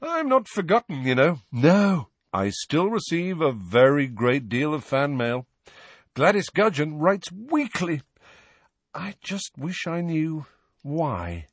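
Audiobook wizard played by a man: self-admiring, childishly cheerful, muddled, bright lilting upper register. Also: fairly high pitch, big pitch swings, slow, husky, no echo, voice pushed up, heavily acted. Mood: vain, cheerful. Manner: breezy, pleased with himself.

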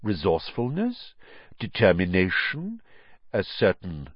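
A calm, kindly old wizard headmaster, as a male narrator puts him on, talking softly and slowly with a lively, rising and falling lilt. His low voice has a husky edge. The recording is dry and a bit muffled, like a storybook audiobook. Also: no room sound, heavily acted. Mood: calm, wise. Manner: gentle, measured, soft-spoken.

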